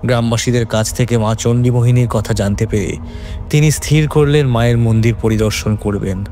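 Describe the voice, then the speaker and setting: A man's voice: mid-range voice, steady tone, fairly quick, warm tone, soft background music. A man narrating a scripted horror story in a dramatic, suspenseful manner.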